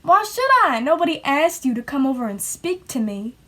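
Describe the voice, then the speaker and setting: A woman's voice: high voice, very animated, moderate pace, a bit bright. A woman playing a bitter, folksy small-town sister who nags and accuses, in an amateur play acted over video call. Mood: scornful. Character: bitter, defiant small-town woman nagging her brother. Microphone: video call microphone.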